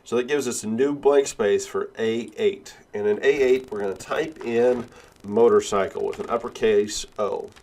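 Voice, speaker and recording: A male instructor with a cold and sniffles, talking step by step through a software tutorial with frequent "uh" and "all right.". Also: lowish voice, normal speed. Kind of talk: screen-recorded software tutorial.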